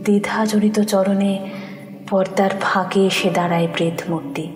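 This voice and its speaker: A woman narrating a horror audio story in an expressive, suspenseful way, sometimes dropping to a whisper. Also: medium pitch, moderate pace. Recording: echoey reverberant studio mix with music.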